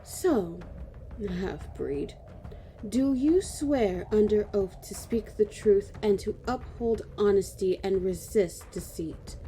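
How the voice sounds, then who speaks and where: A haughty elf woman acted out by a woman speaks slowly in a very soft-edged, dark tone. She is condescending and coolly judging, in fantasy audio drama style. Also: mid-range voice, expressive, slight background noise. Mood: scornful. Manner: measured, condescending.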